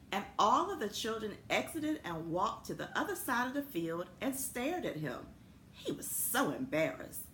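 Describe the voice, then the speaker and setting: A woman reading a children's story aloud expressively, in the manner of a school principal addressing young students. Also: mid-range voice. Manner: animated, unhurried storytelling. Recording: echoey room with noticeable background noise.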